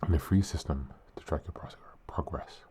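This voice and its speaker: A man with an extremely low, dark and mellow voice reads aloud for his self-help podcast. He is a bit sick and slightly hoarse, and keeps a calm, earnest, unhurried delivery. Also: in a whisper. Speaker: podcast host. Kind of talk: reflective podcast reading.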